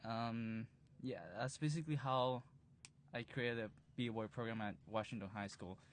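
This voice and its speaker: Young man, relaxed and easygoing. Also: medium pitch. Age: 20s. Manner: relaxed, with frequent fillers. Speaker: b-boy instructor. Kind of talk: recorded interview recollection. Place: museum interview room.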